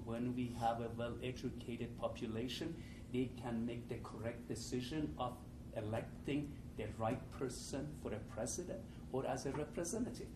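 Older Iranian-accented man in an echoey room. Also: medium pitch. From Iran. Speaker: economics professor and panelist. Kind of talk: informal opening remarks to an audience. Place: live panel event in a room with an audience. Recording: echoey noisy room, distant mic.

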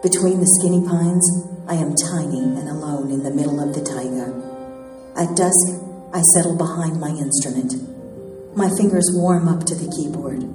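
A woman giving a slow, measured, poetic scripted narration. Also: deep voice, dark tone. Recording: echoey hall from far off, with music.

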